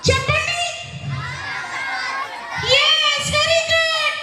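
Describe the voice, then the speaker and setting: A performer voicing a cheeky, chatty little girl puppet in a squeaky cartoon child voice, playful and sing-song, for a children's show. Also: flat delivery, very slow, a crowd in the background, heavily acted. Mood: teasing, playful. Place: large church hall before an audience of children. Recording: echoey hall public address, music and audience.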